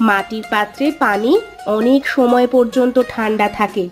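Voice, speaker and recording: A woman's voice: fairly high pitch, steady tone, moderate pace, faint music behind, lightly acted. A woman playing a caring village wife and doting mother in a dramatised moral story.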